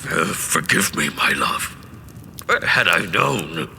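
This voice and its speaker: A grieving warrior lover. His very low voice is gravelly and forceful, breaking into halting, hugely varied phrases over faint noise. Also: very animated, full character voice. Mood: anguished. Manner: halting, grief-stricken. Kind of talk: fantasy audio drama acting.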